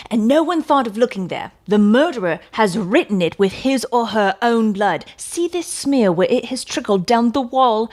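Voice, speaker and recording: A pompous little police detective in a Victorian mystery audio drama, acted by a woman. The voice is on the high side, boastful and emphatic, showing off every point with self-satisfied triumph.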